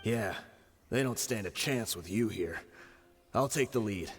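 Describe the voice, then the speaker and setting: A man's voice: fairly low pitch, animated, a little slow, slightly rough. A loyal male mercenary in a video game, eager and rallying. The voice moves up and down a lot and rings with long reverb over faint music. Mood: assured. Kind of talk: video game character acting.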